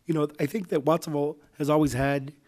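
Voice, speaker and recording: A young man, a vice mayor, speaking loosely at a city council meeting while hoarse from the flu, with many fillers. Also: fairly high pitch, steady tone, quick pace, a little mellow. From United States. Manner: loose, conversational, many "you know" fillers. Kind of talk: public meeting remarks.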